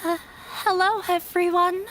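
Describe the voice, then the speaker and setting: An actress playing a shy, nervous young daughter in a video game, speaking hesitantly. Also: female voice, very high voice, level tone, a bit bright, a little hiss or hum, low-quality recording. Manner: hesitant, halting. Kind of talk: video game character acting.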